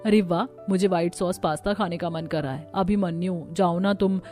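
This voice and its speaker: A woman's voice: on the low side, fairly even intonation, normal speed, darkish tone, faint music behind, close to an everyday voice. A woman voicing a spoiled, demanding young newlywed daughter in a cartoon, whiny and entitled. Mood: pampered, bossy.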